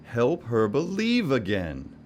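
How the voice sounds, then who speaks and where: An old, kindly Santa Claus, acted by a man for an audio drama, reassuring yet gently in command. His mid-pitched voice moves in lively swings, over faint noise and ambience. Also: slow. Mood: encouraging. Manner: reassuring, quietly authoritative.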